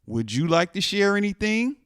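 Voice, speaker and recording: A man voicing a kind, patient female cookie schoolteacher in a children's storybook, gently addressing a class. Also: high voice, very animated, normal speed, smooth, no room sound, studio quality, pitched above the performer's own voice. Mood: kind, encouraging.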